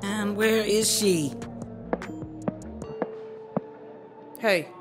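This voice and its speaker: A woman's voice: mid-range voice, slow pace, lightly acted. An actress playing a defiant, wary woman on the run, curt and firm, in a radio drama. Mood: worried, urgent. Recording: music under the dialogue.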